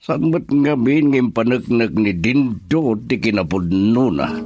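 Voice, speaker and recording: Isang lalaking gumaganap bilang nag-aalalang ama, na may punto ng Ilocano, sa isang radio drama. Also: male voice, mid-range voice, expressive, a little slow, darkish tone, faint music behind, natural voice. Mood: worried. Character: concerned father.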